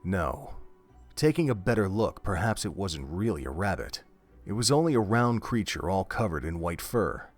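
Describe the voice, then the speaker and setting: A man's voice: low voice, big pitch swings, normal speed, faint music behind. A man reading scripted narration for an audio drama, telling the story in prose.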